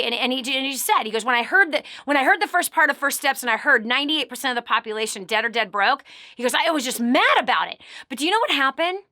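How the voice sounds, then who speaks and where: A middle-aged woman hosting a radio show, giving emphatic, preachy motivational advice that swells louder on key words. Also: high-pitched, no echo, clean studio sound. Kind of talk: motivational coaching talk.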